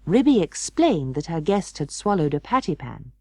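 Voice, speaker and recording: A woman narrating a children's story as an audiobook, in a measured, expressive way. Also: deep voice, no room sound.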